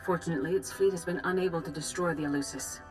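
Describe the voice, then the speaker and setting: An actress plays a composed female Jedi master from a video game. Her voice sits fairly low and carries measured authority. She delivers grave, formal briefings over faint music. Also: steady tone, natural voice, low-quality recording. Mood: grave, urgent. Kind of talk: formal dramatic mission briefing.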